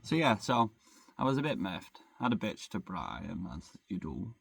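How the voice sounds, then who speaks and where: Gravelly young Englishman rambling casually. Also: fairly low pitch, very animated, normal speed, fairly bright tone, dry recording. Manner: rambling, swears freely, self-deprecating jokes. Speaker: vlogger. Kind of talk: casual chat. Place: living in a van.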